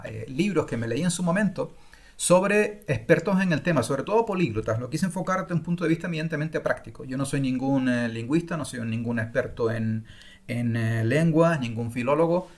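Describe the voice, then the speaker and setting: A middle-aged man at an ordinary middle pitch talks through his online course as if giving a live class. He thinks aloud as he goes, with frequent fillers and restarted phrases. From Spain. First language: Spanish. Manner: thoughtful and unscripted, pausing with fillers and restarts. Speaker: language-learning course creator. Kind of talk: recorded online masterclass.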